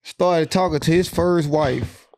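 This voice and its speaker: A man's voice: on the high side, takes it slowly, a little mellow, dry recording, clean studio sound. A middle-aged man co-hosting a podcast, talking bluntly in casual slang and leading the questions. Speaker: podcast co-host. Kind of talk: casual chat.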